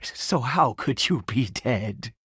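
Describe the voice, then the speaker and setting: A man's voice: mid-range voice, lively intonation, a bit bright, slight background noise. A fervent, stammering father, wildly swooping voice. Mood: anxious, soothing. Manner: stammering, breathless, halting, theatrically emotional. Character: desperate, fervent grieving father, half-mad with hope. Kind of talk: dramatic video game voice acting.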